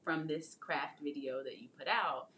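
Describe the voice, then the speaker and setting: A woman's voice: deep voice, normal speed, a bit bright, slight background noise. A woman hosting a casual interview, chatting and telling stories in a conversational way. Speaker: host, marketing strategist and children's book author. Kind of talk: casual interview chat with storytelling.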